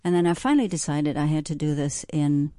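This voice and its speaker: A woman's voice: low voice, a little fast, warm tone, dry recording, clean studio sound. A woman, a visual artist, answering interview questions thoughtfully, hesitating and searching for words. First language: German.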